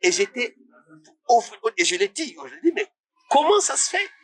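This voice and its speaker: A man's voice: extremely high pitch, very animated, normal speed, breathy edge, dry recording. A man from Congo, a musician talking as a guest in a casual interview, passionate and rising to indignant questions.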